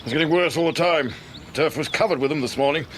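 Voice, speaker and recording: A man's voice: on the high side, fast talker, fairly bright tone, slight background noise, natural voice. An actor in a radio drama playing a fretful British man in his sixties, retired from office work and gloomily fussy about his lawn. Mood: glum, brooding. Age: 60s.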